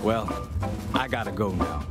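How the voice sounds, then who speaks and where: A man's voice: fairly low pitch, animated, measured pace. A man playing a friendly, upbeat young American soldier reading his letter home as an informal game voice-over. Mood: warm, reassuring. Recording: loud percussive music behind the voice. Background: loud music with drums.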